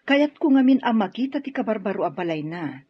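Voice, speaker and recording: Husky-voiced, affectionate middle-aged mother. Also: mid-range voice, expressive, a little fast. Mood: motherly, patient. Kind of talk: radio drama acting. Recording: dull, dry studio audio.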